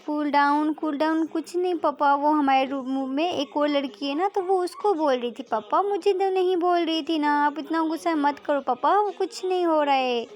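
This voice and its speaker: A woman's voice: high-pitched, normal speed, natural voice. A woman voicing a young female student in a told story, insistent and repetitive, a daughter who can be feisty. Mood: placating. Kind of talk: amateur acted dialogue in a narrated story.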